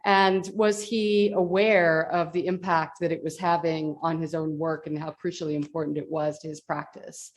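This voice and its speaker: A woman's voice: deep voice, level tone, moderate pace, few pauses. A woman leading a conference session, asking a long, enthusiastic question full of fillers.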